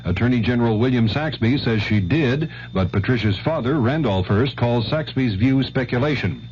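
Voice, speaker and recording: A man's voice: medium pitch, animated, moderate pace, darkish tone. An American man anchoring a network radio newscast, reading from a script. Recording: dull noisy 1970s network radio broadcast.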